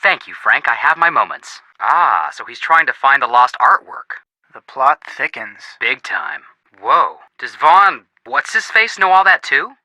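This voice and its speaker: A man voicing an eager, wisecracking young American amateur sleuth in a video game, expressive and earnest. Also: mid-range voice, measured pace, no room sound, heavily acted, low-quality recording. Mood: wry, curious. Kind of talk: video game phone dialogue acting.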